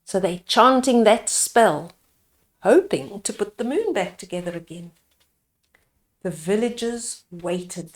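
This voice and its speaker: Animated, slow-paced woman reading a children's story. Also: mid-range voice, roomy sound. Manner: animated, explaining to young listeners. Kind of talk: expressive bedtime storybook narration.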